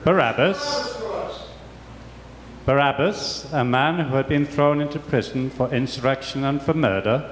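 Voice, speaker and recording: An older man giving a deliberate, declamatory dramatic reading of scripture in a large church. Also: mid-range voice. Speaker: reader in a church Passion reading. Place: large church, before a congregation. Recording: very echoey church, faint noise.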